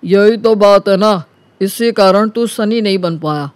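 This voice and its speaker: A man's voice: high-pitched, measured pace, dry recording. A man playing a smug, self-assured young man explaining himself in a story. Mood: boastful. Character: smug young man boasting to his old friend.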